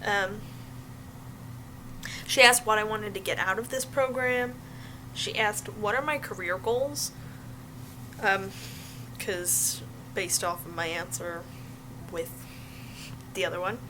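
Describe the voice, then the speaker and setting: A young adult woman chatting casually to her viewers in an informal, animated way with slangy asides, as a vlogger sharing advice. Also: medium pitch, slow, pauses often, background noise. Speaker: vlogger giving application advice.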